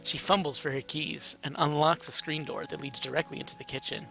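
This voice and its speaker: A man's voice: fairly high pitch, steady tone, brisk, creaky, sharp tone, soft background music, poor audio. A man narrating a story aloud in a casual, amateur, playful way. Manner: informal, playful.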